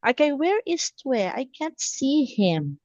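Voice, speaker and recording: Slow, high-voiced woman English teacher. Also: sing-song, darkish tone, dry recording, lo-fi sound. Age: 30s. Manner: instructional, repeats words and checks understanding. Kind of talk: simple, encouraging online language lesson.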